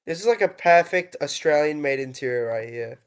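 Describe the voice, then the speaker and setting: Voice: medium pitch, lively intonation, fairly quick, clear, resonant tone. A speaker chatting casually about cars with slangy, exclamatory reactions. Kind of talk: casual car chat. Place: remote voice chat.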